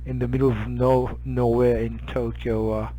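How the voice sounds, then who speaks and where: A man's voice: medium pitch, flat delivery, normal speed, warm tone, low-quality recording. A man in his fifties with a Japanese accent, chatting casually as an amateur radio operator, hesitant and searching for words. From Hokkaido, Japan. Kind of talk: casual radio contact chat.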